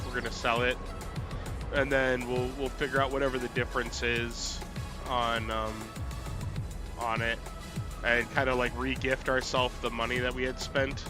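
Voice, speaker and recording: A man's voice: medium pitch, sharp tone. A man live-streaming a farming game, rambling and thinking aloud with lots of fillers, still a little congested from being sick. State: congested, recovering from illness. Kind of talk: casual gameplay live stream chat.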